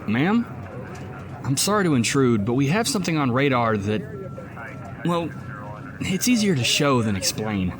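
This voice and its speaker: A man's voice: medium pitch, sing-song, a little slow, pauses often, noisy background. A man playing a nervous, deferential young Coast Guard ensign in an audio drama. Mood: uneasy. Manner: hesitant, deferential.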